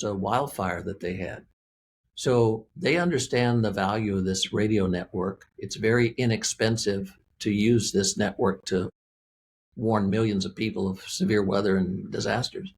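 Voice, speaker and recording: An American man with a fairly low voice speaks as an interview guest, explaining in plain, folksy terms. He is emphatic and likes to repeat key phrases. There is some noise behind him on the recording. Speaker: interview guest, weather radio company representative.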